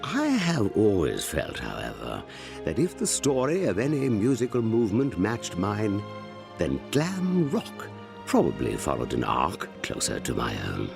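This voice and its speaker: A man's voice: on the low side, huge pitch swings, a little slow, vocal fry, heavily acted. An actor playing a vain, elderly English aristocrat narrating his memoirs in a pompous, wordy manner for a radio comedy. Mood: grandiose reminiscing. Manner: pompous, wordy, self-regarding. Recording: narration over music.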